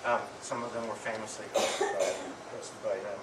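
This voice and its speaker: A middle-aged man giving an affectionate eulogy to an audience, speaking haltingly with pauses and repeated words. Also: lowish voice, fairly even intonation. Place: medium-sized indoor hall with audience. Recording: distant mic, echoey room, clear background noise.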